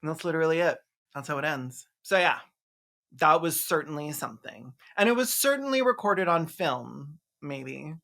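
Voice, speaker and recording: A video essayist giving a sarcastic, comedic movie recap, with snarky deadpan asides and mock-dramatic exclamations. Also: medium pitch, normal speed, creaky. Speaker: video essayist, film reviewer.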